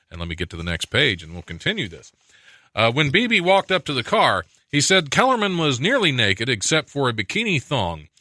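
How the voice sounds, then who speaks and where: Giggly talk radio host, bright voice. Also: male voice, medium pitch, dry recording, studio quality. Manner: jokey, laughs often at his own asides. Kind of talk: casual chat and reading out odd news. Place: radio studio.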